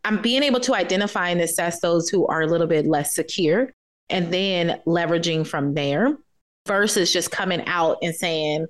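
A woman co-hosting a nursing podcast, speaking firmly and asking pointed questions in a casual debate. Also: lowish voice. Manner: firm, measured, questioning. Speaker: podcast co-host, nurse.

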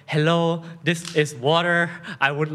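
Thai-accented male guest, lively storyteller, casual interview. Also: fairly high pitch, measured pace. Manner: animated, laughing storyteller with many restarts.

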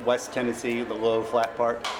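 A man's voice: mid-range voice, completely flat delivery, moderate pace. An American man being interviewed as a novelist, explaining his work casually in an informal, rambling way. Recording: clearly noisy background.

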